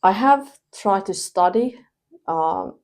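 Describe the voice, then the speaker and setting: A young woman, chatting as a podcast guest, relaxed and pausing with fillers. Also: mid-range voice. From Finland. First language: Thai. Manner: relaxed, laughs between thoughts, pauses with fillers. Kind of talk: casual interview chat.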